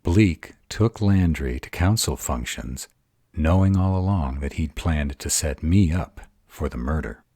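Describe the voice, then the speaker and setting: A man playing an arrogant, calculating vampire in an audio roleplay, measured and formal. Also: very deep voice, warm tone, breathy edge, no room sound, clean studio sound, exaggerated voice. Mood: composed. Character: arrogant male vampire, composed, calculating strategist.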